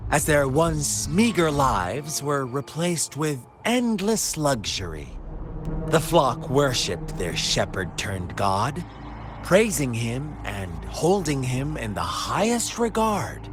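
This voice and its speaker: An actor playing a sly, mocking villain who tells tales with theatrical, taunting drama in a video game. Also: male voice, mid-range voice, big pitch swings, slow pace, over music. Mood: mocking storytelling.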